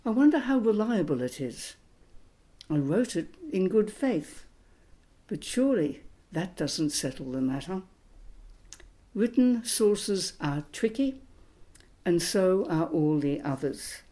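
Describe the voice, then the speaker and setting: A woman in her eighties, a historian, reading a reflective talk in a measured, literary way. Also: fairly low pitch, sing-song, mellow. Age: 80s. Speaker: historian giving a recorded talk. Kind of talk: reflective scripted lecture.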